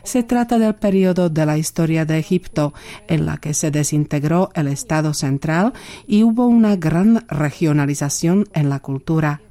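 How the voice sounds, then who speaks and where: Low, mellow woman reading a translation. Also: expressive, moderate pace. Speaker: voice-over interpreter for the guest. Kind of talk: read voice-over translation.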